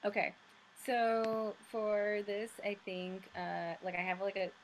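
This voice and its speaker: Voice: mid-range voice, level tone, slow, slight background noise. A player in a tabletop role-playing game, describing a character's actions in a rambling way, full of "like" and "um". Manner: rambling, filler-heavy "like". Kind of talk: casual tabletop play.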